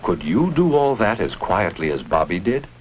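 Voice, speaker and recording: Deep-voiced man narrating a vintage educational film. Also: big pitch swings, moderate pace. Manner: instructive, addresses children with simple questions. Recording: muffled, noisy 1950s educational film soundtrack.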